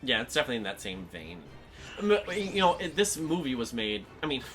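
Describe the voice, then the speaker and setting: Animated male gamer over game music. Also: medium pitch, brisk. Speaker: gaming show co-host playing the game. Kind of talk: casual let's play chat. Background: video game music.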